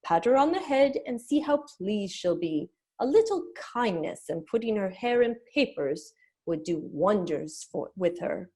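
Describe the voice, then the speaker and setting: A woman's voice: medium pitch, very animated, a little slow, darkish tone, husky, heavily acted. A woman playing a bossy, patronizing queen who talks primly in a dramatic reading of a children's story. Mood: condescending, soothing. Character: bossy, condescending Red Queen growing drowsy.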